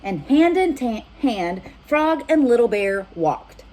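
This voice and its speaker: A woman's voice: on the high side, big pitch swings, slow, dark tone, rough recording. A woman reading a picture book aloud as a children's storyteller, speaking directly to young listeners. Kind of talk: animated picture-book narration with asides to children.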